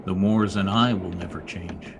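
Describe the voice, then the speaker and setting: A man's voice: deep voice, steady tone, normal speed, dull audio, close to an everyday voice. A man acting a brooding, jealous young stable boy with intense delivery in an old-time radio drama. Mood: brooding, tender. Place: indoor, remote video-call session. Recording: noisy remote video-call audio.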